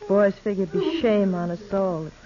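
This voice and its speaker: A woman's voice: mid-range voice, expressive, moderate pace, crowd noise, close to an everyday voice. An actress with an American accent playing a careworn, resigned young frontier woman in a 1950s radio western, plain and subdued. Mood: resigned grief. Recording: dull 1950s radio recording.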